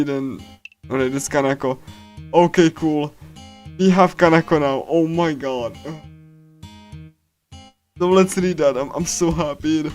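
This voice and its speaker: A man's voice: fairly high pitch, a little mellow. A man streaming a visual novel with casual, rambling commentary, often repeating himself and exclaiming. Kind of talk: casual live commentary on a game.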